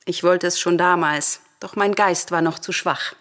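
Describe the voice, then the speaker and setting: An actress playing a proud, defiant, grieving Greek princess in a solemn radio drama, speaking in formal, declamatory verse. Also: female voice, fairly low pitch, steady tone, normal speed, a bit bright, no echo, clean studio sound, natural voice. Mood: defiant, resolute.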